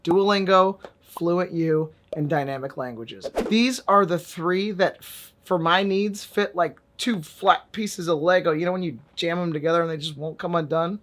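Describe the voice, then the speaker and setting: Guy doing a chill vr app review vlog, dry jokes, random loud bursts. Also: fairly high pitch, moderate pace, hardly any pauses, slight background noise. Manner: wry, joking, with sudden emphatic bursts. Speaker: VR fitness and language vlogger.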